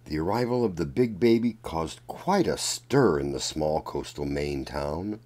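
Male children's story narrator, unhurried and deliberate, with lively swings in pitch. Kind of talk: read-aloud storybook narration.

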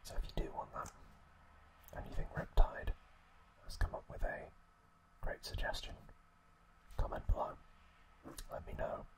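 A man whispering gently and unhurriedly to his listeners as an ASMR host. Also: rough recording. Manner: gentle, unhurried, softly spoken direct address to listeners.

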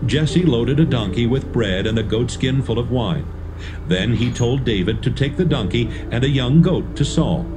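A man's voice: medium pitch, animated, normal speed, over music. A man narrating a dramatised audio Bible from a script.

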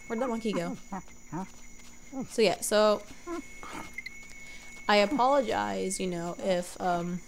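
A woman's voice: medium pitch, slow, vocal fry, pauses often, slight background noise, rough recording. A young woman commentating a video game casually, congested from allergies, rambling and correcting herself often. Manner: rambling, self-correcting, unsure. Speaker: let's play gamer.

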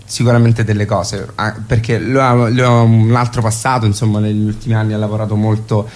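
Lower-voiced man, thoughtful interview guest. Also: little pitch movement, a little fast, darkish tone. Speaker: interview guest from a theatre company. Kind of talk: conversational radio interview.

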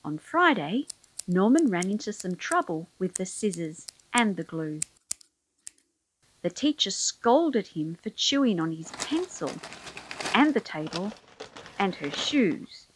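A woman's voice: mid-range voice, big pitch swings, slow, a little hiss or hum, low-quality recording. A woman reading a children's picture book aloud as storyteller, expressively, drawing out key words for emphasis.

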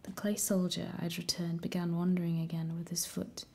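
Woman whispering a story, mellow and low, flat soft delivery. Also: moderate pace, creaky, breathy edge. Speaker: audiobook reader. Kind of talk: whispered ASMR story reading.

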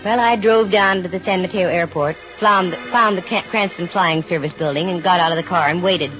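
A woman's voice: on the low side, fairly quick, a bit bright, soft background music, close to an everyday voice. An actress playing a witty, flirtatious female private detective in a 1950s radio drama, with a dry, wisecracking delivery. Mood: wry narrating. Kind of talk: 1950s radio drama acting and first-person narration. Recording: muffled, noisy 1950s radio recording.

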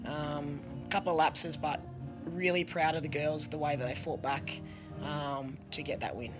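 A middle-aged Australian woman coaching a team, answering press questions earnestly with many pauses, her voice going hoarse. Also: low voice, steady tone, music in the background. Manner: earnest, halting with many ums, a little hoarse. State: losing her voice. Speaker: head coach at a press conference. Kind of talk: post-match press conference answers. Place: press conference room with sponsor backdrop. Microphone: desk microphone.

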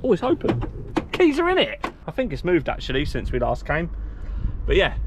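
A man's voice: fairly high pitch, huge pitch swings, moderate pace. A man, chatting to viewers as a trucking vlogger from inside his truck cab. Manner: chatty, upbeat, talks to viewers. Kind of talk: casual vlog narration to camera. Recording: loud engine and yard noise.

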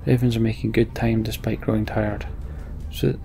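A man's voice: lowish voice, flat delivery, moderate pace, creaky, dark tone, soft background music. A man hosting a let's play, casually muttering his thoughts in short fragments and reading story text aloud.

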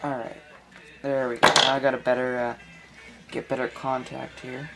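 Halting man giving a casual how-to. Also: medium pitch, little pitch movement, vocal fry, loud music behind the voice. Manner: halting, thinking aloud while working. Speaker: electronics hobbyist presenter. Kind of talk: casual hands-on tutorial. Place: small indoor room at a workbench.

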